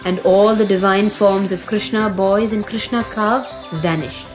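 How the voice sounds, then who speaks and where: A woman's voice: medium pitch, steady tone, unhurried, poor audio. A woman narrating a scripted children's mythology story as the storyteller. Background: music.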